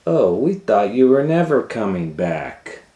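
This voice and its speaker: A man's voice: on the low side, very animated, unhurried, warm tone, voice pushed up. A man voicing a scheming, bossy woodcutter's wife in a children's fairy tale, with false sweetness. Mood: falsely glad. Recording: roomy echo, faint background noise.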